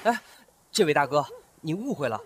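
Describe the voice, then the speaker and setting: Brief: male actor as an earnest, kind-hearted young scholar in an audio drama. Voice lively and a bit high. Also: normal speed, a little hiss or hum. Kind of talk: amateur audio drama acting.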